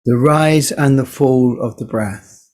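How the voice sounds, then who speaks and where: Male meditation teacher, low-key body scan guide; very slow pace, gentle delivery, leaves space between phrases. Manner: gentle, unhurried, pausing between short phrases.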